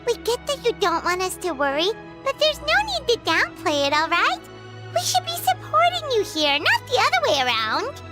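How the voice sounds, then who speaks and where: A woman voicing a small, excitable, childlike fairy companion in a video game, squeaky and very expressive. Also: moderate pace, heavily acted. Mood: eager, supportive. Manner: expressive, speaks of self in third person. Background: background music.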